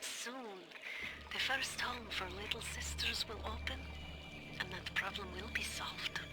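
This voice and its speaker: A woman acting a cold, clinical scientist who dictates measured research notes in a game audio diary. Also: on the high side, heavy background noise, natural voice. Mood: detached, calculating. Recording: loud music over the voice.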